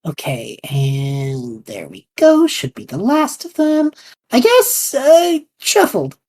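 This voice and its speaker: A woman's voice: a little high, wildly swooping pitch, slow, a little mellow, full character voice. A woman playing a nervous, hesitant young man who is out of his depth. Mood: unsure. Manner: unsure, flustered, hesitant. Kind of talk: fan audio drama acting.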